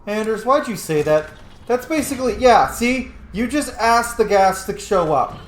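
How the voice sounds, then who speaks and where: A man's voice: high-pitched, lively intonation, moderate pace, echoey room, soft background music. A man doing casual, playful and sweary commentary while playing a video game. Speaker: gaming streamer. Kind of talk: casual let's play commentary.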